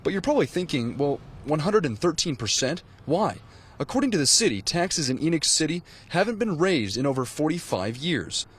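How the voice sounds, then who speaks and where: A man reporting from the field for TV news in a scripted but conversational style, with a husky voice. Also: a little high, sing-song, a little fast, a bit bright.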